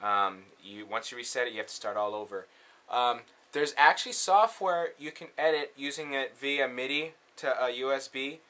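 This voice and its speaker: A bright, forceful man with a mid-range voice hosts a hands-on tutorial. He speaks casually and informally, using everyday slang as he explains each step. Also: mid-range voice, lively intonation, normal speed. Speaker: tutorial host.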